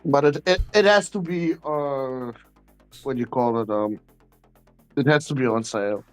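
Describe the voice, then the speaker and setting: A man co-hosting a casual gaming podcast, halting and hesitant, swearing when annoyed. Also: medium pitch, clear, resonant tone, soft background music. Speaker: podcast co-host.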